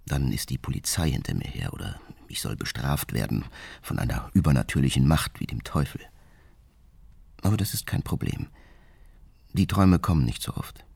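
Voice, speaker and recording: An actor playing an embittered, vengeful bomber hermit reading his secret diary in a radio drama, gravelly and husky with controlled anger. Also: extremely low pitch, animated, normal speed, darkish tone, no room sound, exaggerated voice. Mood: angry, satisfied.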